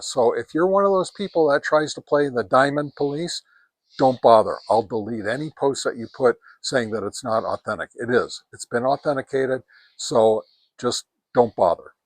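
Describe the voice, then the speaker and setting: A man's voice: mid-range voice, fairly bright tone, dry recording. A man hosting a casual hands-on gear review outdoors, talking in a folksy way and repeating words for emphasis. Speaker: product reviewer and host. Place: outdoors on a deck.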